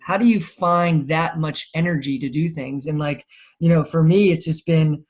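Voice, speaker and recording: A man's voice: on the high side, normal speed, darkish tone. A man in his thirties from South Carolina with an American accent and Swiss German as first language, chatting casually as an interview guest. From Charleston, South Carolina, United States. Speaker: interview guest, physical therapist and entrepreneur.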